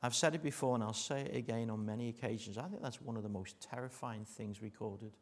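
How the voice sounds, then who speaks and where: Scouse preacher with a joking, earnest manner. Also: male voice, mid-range voice, steady tone, quick pace. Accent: Liverpool. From Liverpool, England. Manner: jokey asides, then earnest and dropping to a hush. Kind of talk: sermon.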